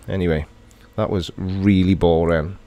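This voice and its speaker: A man's voice: low voice, wildly swooping pitch, slow, creaky, warm tone. A middle-aged man from Wales with a Welsh accent, casually chatting and reading viewer comments aloud on a livestream. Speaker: livestream host.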